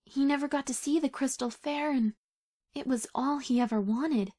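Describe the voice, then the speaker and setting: A woman voicing a gentle, reassuring young female pony in a cartoon comic dub. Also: high-pitched, normal speed, close to an everyday voice. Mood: curious, sympathetic.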